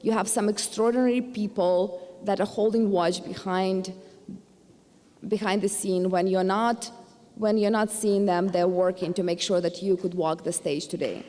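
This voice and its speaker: A middle-aged woman with a Ukrainian accent delivers a commencement address on behalf of a university board. She speaks earnestly at a middling pitch, now and then stumbling into fillers and restarts. Her voice carries a long reverb from a large hall. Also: steady tone, normal speed, dark tone. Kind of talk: formal commencement speech.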